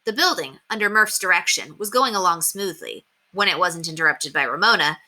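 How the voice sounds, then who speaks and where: A woman narrates a children's storybook with a bright voice that moves freely up and down. She reads clearly and expressively, in the manner of an elementary read-aloud, and her pitch sits a little low. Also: expressive, a little fast.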